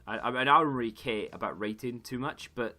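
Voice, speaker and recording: A man, slightly hoarse, rambling through casual gaming commentary and correcting himself as he goes. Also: mid-range voice, fairly quick, bright, forceful tone, faint music behind. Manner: rambling, self-correcting chatter. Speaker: gaming YouTuber.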